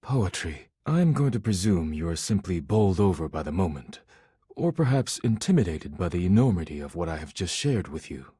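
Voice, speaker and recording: A solemn, earnest male video game character who loves poetry. His voice is low and heavily husky, recorded close and dry. He speaks formally and with measured pride, choosing each phrase with care. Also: very animated, warm tone, dry recording, exaggerated voice. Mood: formal, encouraging. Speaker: voice actor in a dating simulator game.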